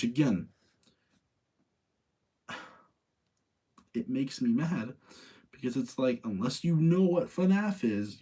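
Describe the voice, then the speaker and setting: A man's voice: mid-range voice, warm tone, slightly rough. A man chatting casually as a livestream host, giving opinionated, rambling commentary and repeating his points for emphasis. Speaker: livestream host reviewing movies.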